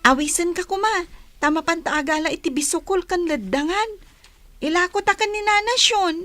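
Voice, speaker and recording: A very high, small girlish voice acted by a woman, recorded close and dry. She is a homesick village schoolgirl in a radio drama, speaking in a plaintive, pleading way. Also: moderate pace, sharp tone, no room sound, soft background music. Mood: earnest. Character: wistful homesick young granddaughter, a village schoolgirl. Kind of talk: Ilocano radio drama acting.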